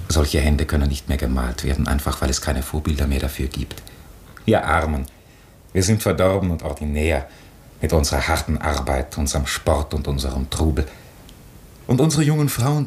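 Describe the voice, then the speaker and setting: Very deep-voiced, earnest chaplain. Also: male voice, darkish tone, slight background noise. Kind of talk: German radio play acting.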